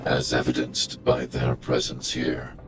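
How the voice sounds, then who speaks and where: An actor playing a cold, calculating giant guardian robot in a video game, speaking in a formal, emotionless, machine-like way. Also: male voice, extremely low pitch, completely flat delivery, measured pace, music in the background. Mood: cold, analytical.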